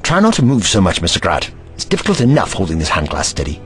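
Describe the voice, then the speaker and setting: A man's voice: deep voice, huge pitch swings, quick pace, fairly bright tone, soft background music, full character voice. A man voicing a haughty, eccentric time-traveling scientist in an audio drama, pompous and lecturing.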